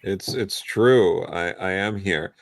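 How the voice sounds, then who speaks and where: A man chatting casually as a guest, starting and restarting his sentences in a halting way. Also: low-pitched, vocal fry, no echo. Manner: halting, repeats words as he starts. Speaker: guest on a fan live stream. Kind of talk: casual chat.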